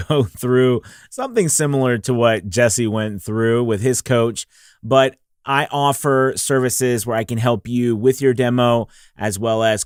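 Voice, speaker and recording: A man's voice: mid-range voice, no room sound, clean studio sound. A man, a voice actor and coach, delivering scripted promotional narration straight to the listener. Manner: direct address to the listener.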